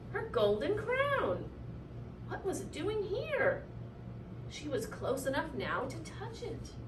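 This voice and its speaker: A woman reading a children's story aloud in an expressive, animated way, drawing out key words for effect. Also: sits high. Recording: roomy, distant mic, clearly noisy.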